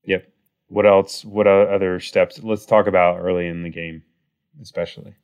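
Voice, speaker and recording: Male podcast host, low voice, congested and raspy from a cold, easygoing talk. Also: normal speed. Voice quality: raspy, nasal. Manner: relaxed, conversational, with fillers. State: has a cold, raspy voice and clogged nose. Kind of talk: casual podcast chat.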